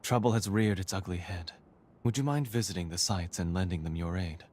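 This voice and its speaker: A male actor playing a composed, courteous institute leader in a video game cutscene, speaking in formal, measured, eloquent phrases. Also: low voice, a little mellow. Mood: formal, assured. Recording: game audio with background music.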